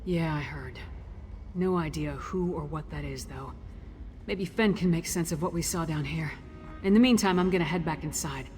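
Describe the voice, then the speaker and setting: Woman playing a low-voiced, blunt warrior woman. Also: moderate pace, a little mellow, faint music behind, lightly acted. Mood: brisk, resolute. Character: tough, blunt, battle-hardened warrior woman. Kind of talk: video game character dialogue.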